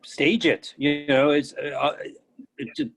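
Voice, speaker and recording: A man giving informal feedback in halting, broken phrases. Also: fairly high pitch, steady tone, vocal fry, a bit bright. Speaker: audience member giving feedback.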